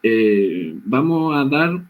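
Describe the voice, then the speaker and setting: A man's voice: medium pitch, sing-song, very slow, narrow, tinny audio. A man hosting a professional program, speaking hesitantly with many fillers. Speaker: host. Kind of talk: hosting a professional talk show.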